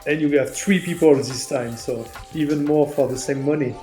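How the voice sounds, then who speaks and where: A man's voice: fairly high pitch, fairly even intonation, moderate pace, mellow, roomy sound, faint music behind. A man co-hosting a live stream, joking and laughing a lot as he talks. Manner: laughs a lot, playful hype. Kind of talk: casual joking chat.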